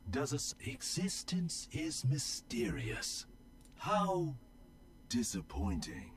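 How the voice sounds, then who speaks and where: Slow, serene robot monk. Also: male voice, on the high side, expressive, a little hiss or hum. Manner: measured, contemplative. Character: serene male robot monk, calm and philosophical.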